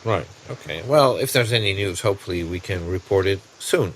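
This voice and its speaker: A man's voice: sits low, animated, fairly quick, slight background noise, poor audio. A man co-hosting a podcast, mostly giving brief replies and friendly banter. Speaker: podcast co-host. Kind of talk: casual podcast chat.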